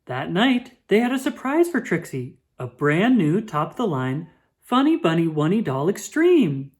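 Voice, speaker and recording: A woman reading a children's picture book aloud with expressive, drawn-out emphasis. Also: on the low side. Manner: animated, dramatic emphasis with drawn-out words.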